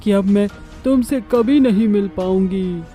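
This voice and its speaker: A man's voice: high voice, measured pace, mellow, soft background music, voice pushed up. A man voicing a forlorn female witch bride ghost in a horror cartoon, speaking dramatically. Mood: mournful.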